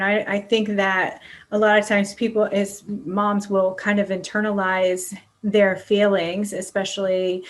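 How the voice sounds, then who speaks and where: Middle-aged woman chatting candidly. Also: mid-range voice. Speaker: podcast host. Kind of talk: casual conversational interview.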